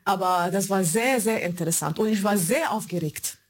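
Arabic-accented woman, halting interview speech. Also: mid-range voice. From born in Germany, raised in Kuwait and Egypt. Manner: halting, searching for words in non-native German. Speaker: radio show maker being interviewed. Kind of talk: conversational interview answers.

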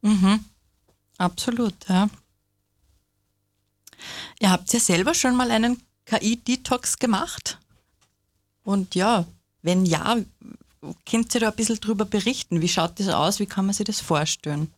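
A woman's voice: medium pitch, measured pace, long pauses, no room sound. A woman hosting a conversational interview and putting questions to guests. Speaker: host.

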